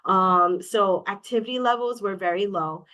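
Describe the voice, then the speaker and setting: A woman from Hawaii talks as a guest in a relaxed interview. She speaks candidly and openly, often starting her sentences with "um". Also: mid-range voice, normal speed. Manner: open, candid, with many fillers. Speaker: guest, coaching client. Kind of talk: casual interview.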